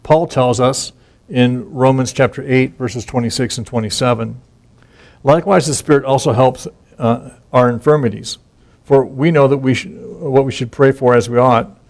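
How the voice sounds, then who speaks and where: A man's voice: mid-range voice, fairly even intonation, normal speed, darkish tone. A man teaching from scripture in an informal, conversational way, reading verses aloud and explaining them with frequent restarts and asides. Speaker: Bible teacher.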